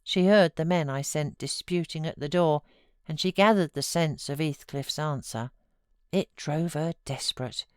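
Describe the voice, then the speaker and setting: A woman with a British accent and a slightly low voice narrates literary fiction. Her delivery is measured and expressive, and the sound is dry with no room echo. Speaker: audiobook narrator.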